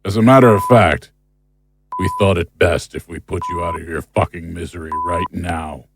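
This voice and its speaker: A man's voice: sits low, normal speed. An actor playing a male dramatic role in an audio drama. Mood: clinical, cold. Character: uneasy man, turning cruel fake doctor in dreams. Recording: clear background noise.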